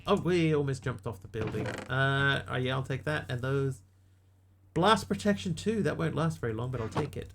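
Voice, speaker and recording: A man's voice: medium pitch, expressive, normal speed, gravelly, background noise. Dry-humored New Zealand man streaming. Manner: dry, self-mocking, chatty asides to viewers. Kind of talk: casual live-stream commentary while gaming.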